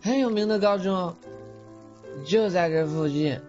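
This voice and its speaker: A man's voice: high-pitched, measured pace, darkish tone. A man acting in an audio drama as a sweet, naive teenage boy ghost with a childlike, pouty manner. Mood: wistful. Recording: slightly dull audio, faint music behind.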